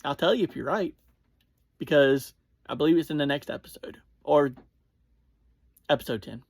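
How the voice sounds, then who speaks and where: A reviewer giving a casual spoken recap, speaking informally to listeners in short, halting phrases and often self-correcting. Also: mid-range voice, slightly rough, dry recording. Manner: informal, addresses listeners directly. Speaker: podcast reviewer.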